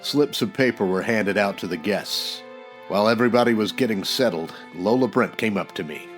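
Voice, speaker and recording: A man playing a wry, deadpan private eye narrating his case in slangy old-time radio detective style. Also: on the low side, moderate pace, natural voice. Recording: music under the voice.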